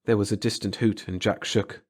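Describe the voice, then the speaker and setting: A man's voice: lowish voice, fairly even intonation, moderate pace. A man reading a story aloud as an audiobook narrator, in a dramatic scripted style.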